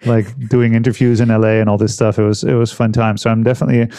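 A man's voice: on the low side, little pitch movement, fast talker, mellow, clear, resonant tone. A man from the Netherlands, speaking with a Dutch-tinged American accent, chatting loosely in a conversation with lots of restarts and fillers. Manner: rambling, many restarts and fillers. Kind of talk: casual conversation.

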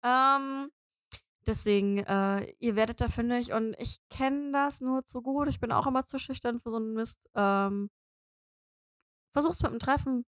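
A young native German woman co-hosting a casual podcast, rambling cheerfully with plenty of fillers and frequent laughs. Her voice sits a little high, and the recording is close and dry with no room around it. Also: a little slow, no room sound. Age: 20s. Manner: chatty, many fillers, laughs often. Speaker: podcast co-host.

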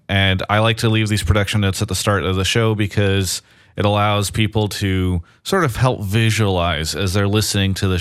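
Dry, clear-voiced joking podcast man. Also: on the low side, a bit bright, no echo. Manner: joking, upbeat banter. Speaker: podcast co-host. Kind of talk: casual podcast chat. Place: his home apartment.

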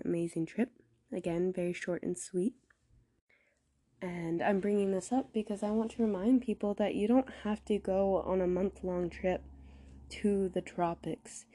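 A woman hosting a podcast alone, a bit stuffed up from a cold, talking slowly and earnestly with some rambling. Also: fairly low pitch, mellow. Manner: earnest, encouraging, a little rambling; deliberately slow, careful pronunciation. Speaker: podcast host. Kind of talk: casual solo podcast monologue.

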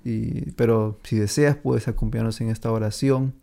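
A man hosting a podcast, talking casually and rambling with many fillers. His voice is gravelly and mellow, and he laughs easily between thoughts. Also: lowish voice, steady tone, moderate pace. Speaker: podcast host. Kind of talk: casual chat.

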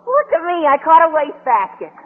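A man playing an eager, gawky teenage schoolboy with a squeaky adolescent voice in an old radio comedy. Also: huge pitch swings, brisk, full character voice. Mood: boyish enthusiasm. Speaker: actor. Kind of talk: 1950s radio comedy acting. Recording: tinny, slightly noisy old radio recording.

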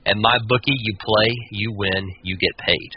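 A man hosting a sports talk podcast, giving commentary with a halting delivery that often repeats words. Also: lowish voice, steady tone. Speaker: podcast host. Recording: dull lo-fi sound with clear noise.

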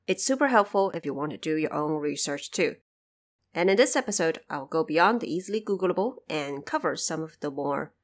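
A woman podcast host giving a scripted but informal explanatory narration. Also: low-pitched.

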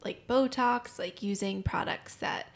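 A mid-pitched woman with a lot of vocal fry chats easily as a podcast co-host. She agrees often and talks in a loose, friendly way. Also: a little slow. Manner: chatty, agreeable, many yeahs. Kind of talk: casual chat.

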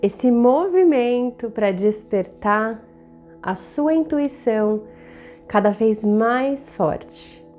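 A woman with a Brazilian accent narrating a guided meditation in a soothing, deliberate manner, in short measured phrases. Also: fairly high pitch, expressive, darkish tone. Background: meditative background music.

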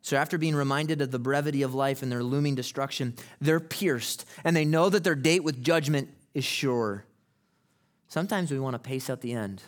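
A man preaching a sermon as pastor, moving from conversational teaching to loud, impassioned preaching. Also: medium pitch, normal speed. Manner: acts out quoted people in casual voices. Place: church sanctuary.

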